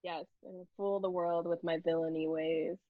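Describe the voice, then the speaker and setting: A woman's voice: fairly low pitch, level tone, moderate pace, no echo, narrow, tinny audio. A woman interviewer chatting casually in a playful, rambling way. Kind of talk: casual chat.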